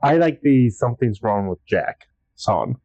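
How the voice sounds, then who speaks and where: A man's voice: mid-range voice, animated, a little slow, a little mellow, dry recording. A young man co-hosting a casual podcast chat, easygoing and a bit hesitant. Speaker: podcast co-host. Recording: dry, dull lo-fi sound.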